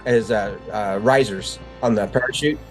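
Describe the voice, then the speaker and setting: A man's voice: fairly low pitch, normal speed, faint music behind. An older man, a military veteran being interviewed, tells stories casually and acts out remembered dialogue as he goes. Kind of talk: casual war-story telling.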